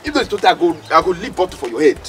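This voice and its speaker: A man's voice: high voice, very animated, rapid-fire, a bit bright, slight background noise. A man playing a hot-tempered, scolding friend in a drama, with a Nigerian accent and a blustering, streetwise manner. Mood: angry, scolding. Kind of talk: comedy drama acting in Nigerian Pidgin.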